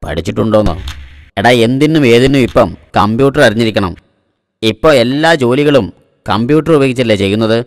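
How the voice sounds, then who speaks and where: A man's voice: mid-range voice, lively intonation, brisk, no room sound, clean studio sound, in a large hall, lightly acted. A man voicing a boastful, easily exasperated self-styled computer expert in a comic cartoon. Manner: pompous, boastful.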